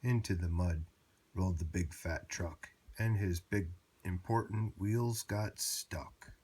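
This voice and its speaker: A man narrating a children's picture book aloud in a measured, rhyming read-aloud manner. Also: low voice, darkish tone, husky.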